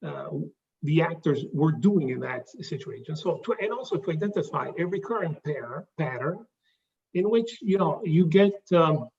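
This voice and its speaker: A man with an Italian accent giving a pitch presentation, talking haltingly with many fillers and restarts. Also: lively intonation, gravelly, a little mellow, far from the mic, dull audio. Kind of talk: pitch presentation to a live audience.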